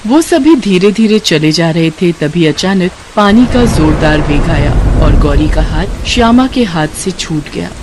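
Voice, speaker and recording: A woman's voice: on the low side, animated, a little slow, few pauses, noisy background. A woman narrating a scripted moral bedtime story.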